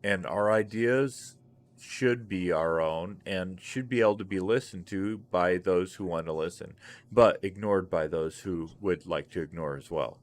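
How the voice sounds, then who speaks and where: A man in his forties hosting a gaming stream, ranting in a profane, rambling, animated way during casual voice chat. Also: sits low, a little slow. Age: 40s. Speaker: streamer host.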